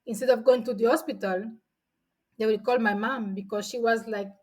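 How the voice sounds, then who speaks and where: A woman's voice: on the high side, steady tone, moderate pace, darkish tone. A woman in her forties from São Tomé and Príncipe, a guest telling stories in a chatty way with frequent restarts. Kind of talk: casual storytelling.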